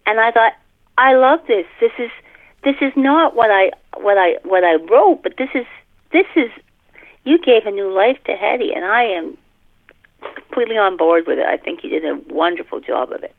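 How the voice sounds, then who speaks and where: A middle-aged woman talking casually in a podcast interview as an author, halting and often repeating and rephrasing her phrases. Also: medium pitch, expressive. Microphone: telephone. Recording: muffled, tinny telephone line.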